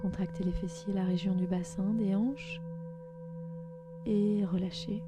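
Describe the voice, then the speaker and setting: Female meditation guide, calm and gentle, mid-pitched, spaced phrasing, music underneath. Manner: calm, gentle, slow instructions with pauses. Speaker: podcast host and yoga nidra guide.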